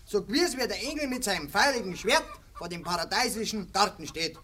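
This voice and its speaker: An actor playing a brash, mocking young village recruit with a Bavarian accent in a 1950s radio play. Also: male voice, high voice, expressive, fairly quick, sharp tone. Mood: declaiming. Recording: noisy 1950s radio recording.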